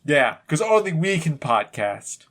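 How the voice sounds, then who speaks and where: A man's voice: on the high side, big pitch swings, a little slow, fairly bright tone. Man bantering as a podcast co-host. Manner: jokey, rambling, goes off on tangents. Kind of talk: casual comedic banter.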